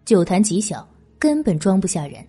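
A woman's voice: medium pitch, very animated, moderate pace, dark tone, slightly rough. A woman narrating a historical novel in scripted audiobook style. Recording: background music. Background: music.

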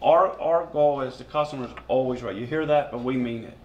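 A man's voice: medium pitch, a little fast, roomy sound, lo-fi sound, a little hiss or hum. A man in his thirties, a bakery owner appearing as a guest, talking earnestly and proudly about his business. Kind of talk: casual interview chat. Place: small studio with banners.

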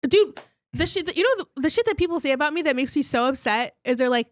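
A woman co-hosting a podcast, talking in a quick, blunt, expressive way. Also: sits high, dry recording, studio quality. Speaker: podcast co-host. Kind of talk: casual chat.

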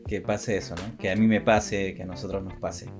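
A man's voice: sits low, fairly even intonation, a little fast. A young man co-hosting a casual video podcast, hesitant and rambling, often repeating his phrases. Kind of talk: casual chat. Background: background music.

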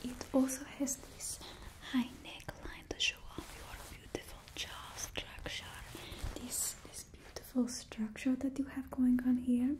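A woman's voice: sits high, little pitch movement, unhurried, low-quality recording. A woman in her twenties whispering closely in a put-on Russian accent, as the host of an ASMR roleplay. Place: indoors in front of a studio backdrop. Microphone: close microphone.

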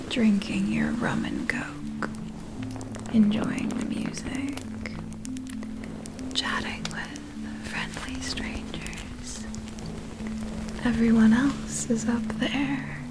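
Young woman as a predatory female vampire, hushed whisper, close-miked, deliberate, falsely soothing, ASMR monologue, music behind. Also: a little high, steady tone. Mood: menacing, hypnotic. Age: young adult.

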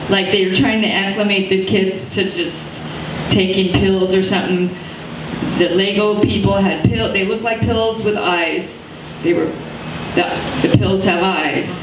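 A woman's voice: medium pitch, fairly even intonation, unhurried, noisy background. Middle-aged woman doing rambling stand-up. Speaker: open mic comedian. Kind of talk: stand-up comedy set. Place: small coffee shop stage, indoors. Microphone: handheld mic, far camera. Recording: echoey room from far off.

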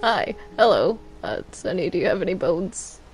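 An excitable, stammering online gamer chatting casually in character during a roleplay game. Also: medium pitch, normal speed. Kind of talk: casual in-character roleplay chat while gaming.

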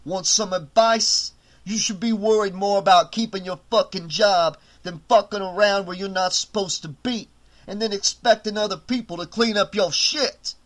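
A man's voice: sits high, fairly even intonation, normal speed, a bit bright, pitched above the performer's own voice. A snarling bully supervisor, as a man puts him on. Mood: scornful. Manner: snarling, profane threats. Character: menacing brutish male hotel supervisor, bullying.

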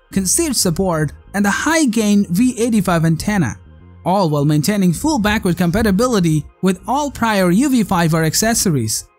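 A narrator with a mid-pitched voice delivers a scripted product review. The intonation moves up and down in a lively way, with a measured, promotional read-aloud delivery. Faint music plays behind the voice. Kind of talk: scripted narration of a tech countdown. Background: background music.

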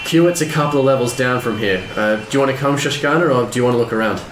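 An actor playing an easygoing smuggler pilot and crew leader in an audio drama, casual and dry. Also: male voice, mid-range voice, racing, lightly acted. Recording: roomy with faint background noise.

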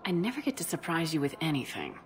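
An actress playing a firm, candid female military commander, direct and steady, in a video game. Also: deep voice, quick pace. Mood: gently prodding.

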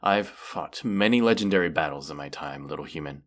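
A man voicing a proud, menacing wounded dragon in a fantasy audio roleplay, speaking in a haughty, deliberate, archaic way. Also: extremely low pitch, animated, fairly bright tone. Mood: defensive anger.

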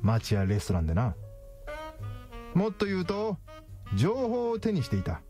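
A cocky detective, wildly animated pitch. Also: male voice, moderate pace, faint music behind. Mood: lecturing. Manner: smug, explanatory, theatrical. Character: cocky, calculating male detective, coolly triumphant. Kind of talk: radio drama acting.

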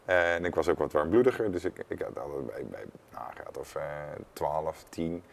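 A man's voice: a bass voice, animated, normal speed, gravelly. A man, chatting casually as a studio guest and often repeating words as he goes. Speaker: former professional cyclist, studio guest. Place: indoor studio. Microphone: lapel mic.

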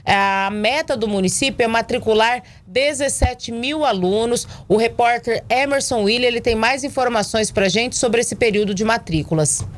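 A woman's voice: fairly high pitch, normal speed, few pauses. A young woman presenting the news in a TV studio on a desk mic, addressing the viewers in a chatty, direct way. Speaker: news anchor.

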